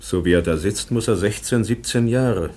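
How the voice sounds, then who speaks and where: An actor playing a kind professor who explains things gently and patiently. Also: male voice, fairly low pitch, normal speed, close to an everyday voice. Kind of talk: radio play acting.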